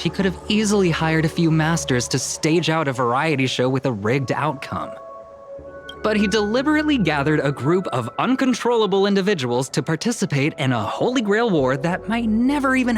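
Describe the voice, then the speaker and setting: A man's voice: on the high side, sing-song, brisk, soft background music. A man playing a suave, playful, calculating young businessman in a video game, speaking with polished, theatrical eloquence. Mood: probing, sly. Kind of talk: dubbed anime game dialogue.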